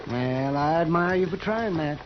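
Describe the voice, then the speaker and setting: High-pitched, animated frontier doctor played by a man. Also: normal speed, over music, natural voice. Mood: concerned. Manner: folksy, animated, fussing. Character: chatty, wry older male frontier town doctor. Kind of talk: 1950s radio drama acting. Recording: dull lo-fi 1950s radio recording.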